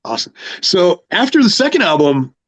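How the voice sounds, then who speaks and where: A man's voice: high-pitched, sing-song, a little fast, a bit bright. Guy hosting an interview, total fan, keeps restarting sentences. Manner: enthusiastic fan, hesitant restarts. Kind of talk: casual interview chat.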